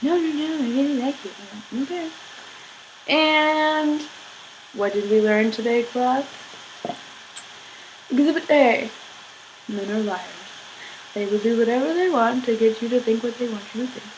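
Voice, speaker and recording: A young woman rambling in a casual vlog, filling every pause with "like". She is sick, and her voice sounds a little husky. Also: on the high side, a little hiss or hum, low-quality recording. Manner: chatty, filler-heavy, giggly, says "like" constantly. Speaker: vlogger.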